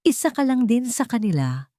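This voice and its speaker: A woman's voice: on the high side, sing-song, normal speed, warm tone, no room sound, studio quality, voice pushed up. A woman voicing a hurt, bitter bride-to-be in a story, accusing and sarcastic. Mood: bitter, wounded.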